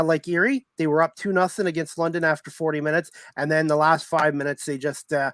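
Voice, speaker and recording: A man's voice: a little high, no echo. A man hosting a sports talk interview, giving team updates and putting questions to a guest. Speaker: sports talk show host.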